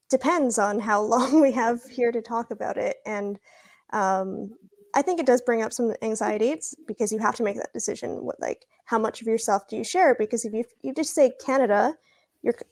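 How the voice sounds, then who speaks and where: A woman's voice: fairly high pitch, darkish tone. A young woman speaking as a guest in a casual podcast conversation, thoughtful and laughing often. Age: young adult. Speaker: guest, former international school student.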